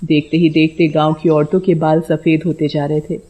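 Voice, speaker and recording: Mellow woman storyteller. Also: sits low, level tone, moderate pace. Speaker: storyteller, narrator. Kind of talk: scripted bedtime story narration. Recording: dull lo-fi audio.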